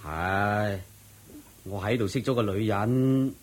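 An actor with a Hong Kong Cantonese accent playing a guilt-ridden, weak-willed village husband in a radio drama, sighing and pleading anxiously. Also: male voice, lowish voice, takes it slowly. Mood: sheepish, troubled.

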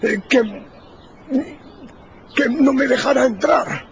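An actor playing a dutiful armed colonel in a radio drama, with a formal manner of speech. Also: male voice, very high-pitched, takes it slowly, heavily acted. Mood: frightened. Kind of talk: Spanish-language radio drama acting. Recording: dull, noisy radio drama recording.

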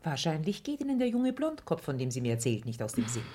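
Cynical female matchmaker for radio comedy: husky, animated, cajoling. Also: sits low, fast talker, full character voice. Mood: cynical, coaxing. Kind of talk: German radio play of a classic stage comedy.

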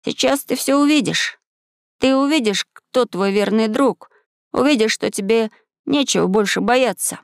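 A woman voicing an earnest, curious and bold seven-year-old boy in a storybook, asking clear, insistent questions. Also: on the high side, normal speed, dry recording, clean studio sound, pitched above the performer's own voice, lightly acted. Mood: confident.

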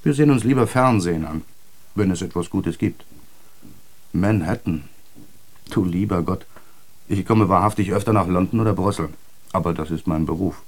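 Low-voiced, courteous male airline steward. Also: normal speed, pauses often. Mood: polite, modest. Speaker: actor. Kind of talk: German radio drama dialogue.

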